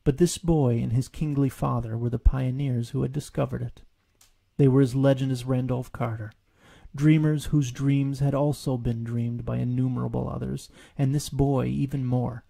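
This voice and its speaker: Guy reading a storybook tale, crackly low-key gravelly voice, soft dark tone. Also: no room sound. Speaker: author reading his own story. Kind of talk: scripted storybook narration.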